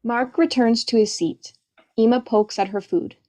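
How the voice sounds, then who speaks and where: A woman's voice: fairly high pitch, fairly even intonation, moderate pace, dark tone. A woman giving scripted narration, reading the stage directions of a play.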